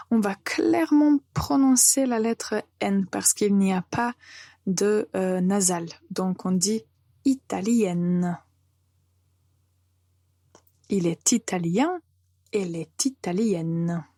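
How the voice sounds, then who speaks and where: A woman from Serbia hosting a French lesson podcast, slowly and carefully modelling word pronunciations for learners. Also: mid-range voice, warm tone, no room sound, clean studio sound. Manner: slow, careful pronunciation drills. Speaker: French teacher, podcast host.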